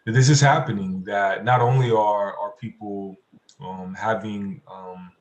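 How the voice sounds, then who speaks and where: A man with a fairly low voice speaks off the cuff as a panelist. He is halting, often repeating himself as he searches for words. The sound is roomy and echoey, as on a video call from an untreated room. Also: fairly even intonation, lo-fi sound. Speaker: community organizer, panelist. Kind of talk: spontaneous panel answer.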